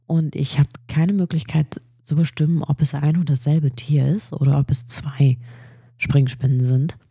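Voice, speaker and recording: Woman with a cold, husky and dark. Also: low-pitched, dry recording, studio quality. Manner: chatty, enthusiastic about nerdy facts. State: has a cold, blocked nose. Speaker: podcast host. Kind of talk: casual solo science podcast.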